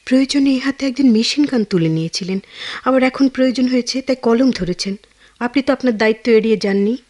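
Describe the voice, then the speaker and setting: An actress with a Bangladeshi accent playing a caring, resolute young woman in a radio drama, gentle and earnest in delivery. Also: a little high, quick pace, lightly acted. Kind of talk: Bengali radio drama acting.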